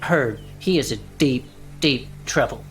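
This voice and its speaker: An actor playing a folksy, slangy, excitable amateur adventurer in an audio drama, with a dramatic way of talking. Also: male voice, medium pitch, measured pace, faint music behind, natural voice. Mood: troubled, wondering.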